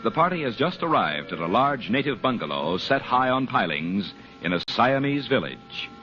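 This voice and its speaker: An American man announces in formal 1940s radio style, with a showman's deliberate phrasing and widely swinging pitch. The recording is muffled and old, with music clearly playing behind him. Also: very animated.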